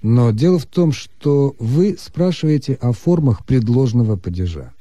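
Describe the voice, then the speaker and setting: A man calmly explaining Russian grammar as the expert on a radio call-in show. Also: medium pitch, no echo, studio quality. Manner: measured, lecturing. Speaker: language expert answering listeners.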